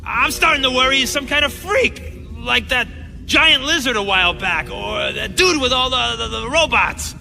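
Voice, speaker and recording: A man's voice: very high voice, normal speed, creaky, pushed and bright, long reverb tail, heavily acted. A man voicing a cornered, jittery villain gang boss in a video game, blustering and stammering under pressure. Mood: panicked. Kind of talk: video game cutscene acting.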